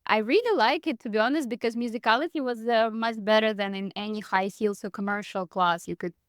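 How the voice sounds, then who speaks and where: A woman's voice: on the high side, brisk, smooth, dry recording, clean studio sound. A woman in her thirties from Moscow with a Russian accent, talking casually as an interview guest and laughing often as she tells her story. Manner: chatty, laughs often, frequent "like". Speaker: guest, dance teacher.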